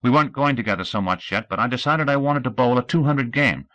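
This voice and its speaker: A man's voice: medium pitch, animated, quick pace, bright, forceful tone, pitched above the performer's own voice. A man reading aloud, voicing an earnest teenage boy athlete who rambles and later cries.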